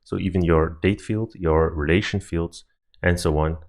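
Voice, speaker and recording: A man whose first language is Dutch, talking through a software platform step by step in a screen-recorded tutorial, with slightly non-native phrasing. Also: low voice, steady tone, moderate pace, vocal fry.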